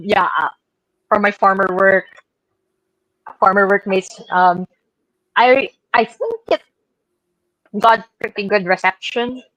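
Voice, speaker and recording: A woman's voice: mid-range voice, a bit bright, no room sound, rough recording. A woman presenting games in an enthusiastic, casual chat. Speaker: gaming show presenter.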